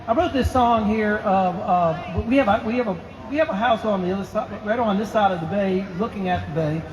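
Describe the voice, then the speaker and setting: A man's voice: sits high, fairly quick, lo-fi sound. A man in his sixties, a singer-songwriter chatting between songs at a live show, rambling and folksy with plenty of "uh" and restarts. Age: 60s. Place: live music venue. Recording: roomy live sound with loud guitar music.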